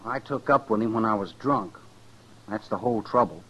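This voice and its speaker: An actor playing a contrite, respectful young cowhand with a subdued, deferential manner in a 1950s radio western. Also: male voice, lowish voice, normal speed, a bit bright, breathy edge. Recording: noisy 1950s radio recording.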